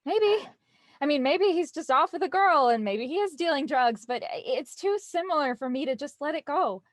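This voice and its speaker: A woman playing an anxious, stubborn and wry young woman in a naturalistic audio drama, with halting, stammering speech. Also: high-pitched, animated, no room sound, clean studio sound. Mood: defensive, wounded. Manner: halting, stammering, rambling when nervous.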